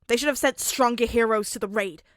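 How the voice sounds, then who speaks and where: A woman's voice: on the high side, a little fast, no room sound, studio quality, natural voice. A woman voicing an arrogant, aggressive teenage boy with a sneering, boastful delivery in an audiobook story. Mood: contemptuous. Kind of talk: audiobook character acting.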